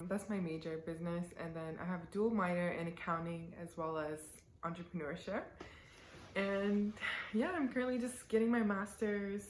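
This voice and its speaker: A woman's voice: on the low side, a little hiss or hum. A woman in her twenties chatting casually to camera in a Q&A, giggly and full of fillers. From born in the UAE, raised in Canada. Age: 20s. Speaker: vlogger. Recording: roomy echo.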